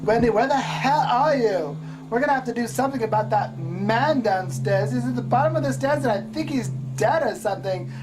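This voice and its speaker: A woman's voice: a little high, expressive, brisk, noisy background, close to an everyday voice. A woman playing a panicky, foul-mouthed amateur ghost hunter in a comedy puppet show, exclaiming and scolding dramatically. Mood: panicky, angry. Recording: music playing loudly behind the voice.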